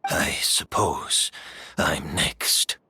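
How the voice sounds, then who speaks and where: An actor playing a weary, gentle scholar in a video game, speaking in a measured, reflective way. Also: male voice, rumbling low, huge pitch swings, slightly rough, no echo, soft background music, exaggerated voice. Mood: resigned. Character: weary, gentle, resigned male scholar and teacher.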